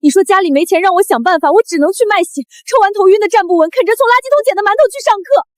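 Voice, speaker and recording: High-voiced, bitterly defiant young daughter. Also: female voice, very fast, no echo, studio quality. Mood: indignant, hurt. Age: young adult. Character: wronged eighteen-year-old daughter, bitter, defiant, fiercely proud. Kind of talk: melodramatic short-drama acting.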